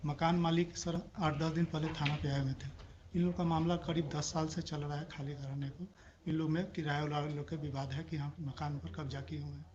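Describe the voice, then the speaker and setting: Male police officer, Bihari accent, fairly high voice, defensive and deferential testimony, muffled noisy audio. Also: level tone, fairly quick, a little mellow. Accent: Bihari Indian. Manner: deferential, defensive, says sir constantly. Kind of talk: formal testimony to a court. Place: courtroom. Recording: muffled courtroom audio with clear noise.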